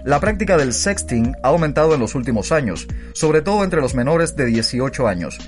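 A man's voice: mid-range voice, sing-song, brisk. A male podcast co-host with a Cuban accent. His voice sits in the middle range, with light music underneath. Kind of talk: podcast talk and spot narration.

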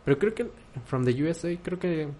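A man's voice: on the high side, expressive, moderate pace, mellow. A man with a Mexican accent co-hosting a podcast in casual chat, playful and dropping English phrases. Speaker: podcast co-host.

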